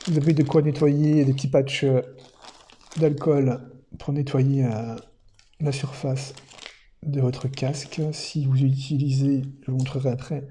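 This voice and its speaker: A man's voice: medium pitch, little pitch movement, unhurried, warm tone. A man presenting a product unboxing in casual, unscripted commentary, thinking aloud somewhat hesitantly.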